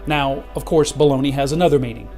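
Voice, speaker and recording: A man's voice: medium pitch, fast talker, a little mellow. A man presenting a short scripted explainer video in an upbeat, chatty way, spelling words out letter by letter. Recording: clip played over music.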